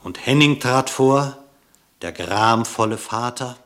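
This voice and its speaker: A man narrating a literary verse tale for a radio drama, in a measured, solemn storytelling manner. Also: medium pitch, fairly bright tone. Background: plucked guitar music.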